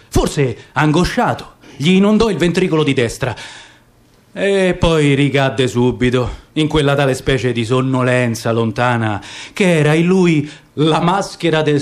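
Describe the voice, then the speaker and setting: A man giving a theatrical, lively dramatic reading of dialect poetry and prose. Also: medium pitch. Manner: theatrical, lively, savoring the words. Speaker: narrator.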